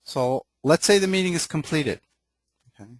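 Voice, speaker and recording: Man presenting a software webinar, dry sound. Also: a little high, moderate pace, no room sound. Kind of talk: software demonstration, explanatory and informal.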